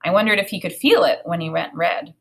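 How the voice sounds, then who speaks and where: A woman reading a children's story aloud in an expressive narrating style. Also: lowish voice, fast talker, gravelly.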